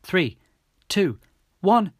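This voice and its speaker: A man's voice: medium pitch, lively intonation, normal speed, fairly bright tone, no echo, clean studio sound, lightly acted. A man voicing a brave, urgent teenage boy in an audiobook. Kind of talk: audiobook character voice.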